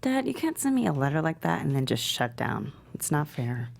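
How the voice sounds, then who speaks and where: A woman's voice: deep voice, big pitch swings, moderate pace, gravelly, heavily acted. An actress reading an earnest adult daughter pleading with her father. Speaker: actor in a table read.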